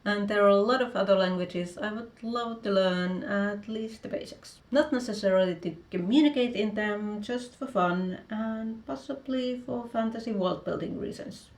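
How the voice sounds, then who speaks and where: A woman's voice: medium pitch, moderate pace, few pauses, echoey room. A woman from Finland whose first language is Finnish, speaking English with a Finnish accent. She reads out each question of a video tag and then answers it in short, plain sentences from a room at home. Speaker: author vlogger.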